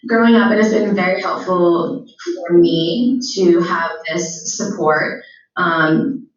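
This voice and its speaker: A woman's voice: fairly low pitch, slow pace. A teenage girl giving a school presentation, stuttering with repeated words and frequent fillers. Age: teens. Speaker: student speaker. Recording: very echoey, distant, dull sound.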